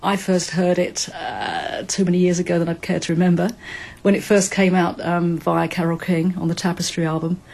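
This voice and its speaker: A woman's voice: fairly low pitch, a little fast, gravelly, a little mellow, slightly rough. An older woman fondly recalling the first time she heard a song, rambling with fillers. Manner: reminiscing, rambling, many fillers. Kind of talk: personal recollection.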